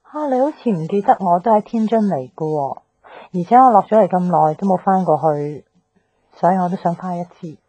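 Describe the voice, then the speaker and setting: A woman's voice: fairly low pitch, fairly quick, mellow, natural voice, low-quality recording. An actress playing an earnest, plucky young woman in radio drama dialogue, conversational, with a Hong Kong Cantonese accent. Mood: earnest, hopeful.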